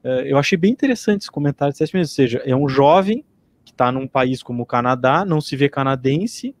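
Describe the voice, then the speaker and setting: A man's voice: mid-range voice, expressive, fairly quick. A man hosting a casual podcast interview, feeling his way through rambling, tentative questions. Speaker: podcast host.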